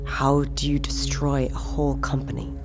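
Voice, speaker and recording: Low-voiced, blunt skeptical woman. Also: monotone, normal speed, whispered, music in the background. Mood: wary, skeptical. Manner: blunt, casual, challenging. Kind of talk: audio drama acting.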